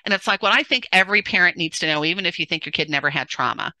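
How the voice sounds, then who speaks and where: A middle-aged woman speaking as a parenting coach in a relaxed interview, with a loud, forceful and very bright voice. She gestures animatedly and often restarts her sentences. She is on a headset from home, and the sound is close and dry. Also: on the low side, very fast, no room sound, poor audio. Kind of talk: casual interview conversation. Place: home room, indoors. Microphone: headset on a video call.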